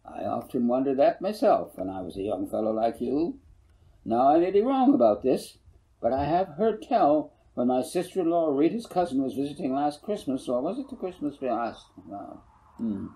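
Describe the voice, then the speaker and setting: A man's voice: mid-range voice, sing-song, moderate pace, dark tone, voice pushed up, low-quality recording. A man voicing a folksy, good-humored older farmer who rambles and corrects himself, in a storybook reading. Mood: folksy, rambling. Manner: rambling, homespun, self-correcting. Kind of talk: audiobook character voice.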